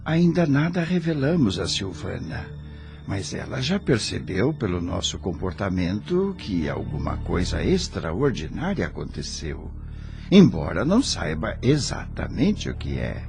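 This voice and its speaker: A man's voice: deep voice, creaky, heavily acted. A man acting a middle-aged adoptive father in an audio drama, earnest and troubled, speaking formally and politely with a Brazilian accent. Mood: respectful, anxious. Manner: formal, polite, emotive phrasing.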